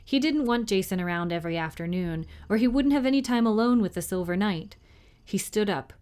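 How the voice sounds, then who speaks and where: Woman reading a storybook aloud, mid-pitched. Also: expressive, brisk, a little mellow, smooth. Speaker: library storytime reader. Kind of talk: storybook narration for preteens.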